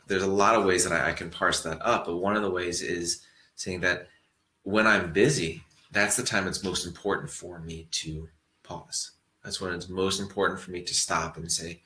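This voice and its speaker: A man giving a relaxed, reflective mindfulness talk to an audience, rambling a little and restarting his sentences as he thinks aloud. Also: low voice. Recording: echoey room.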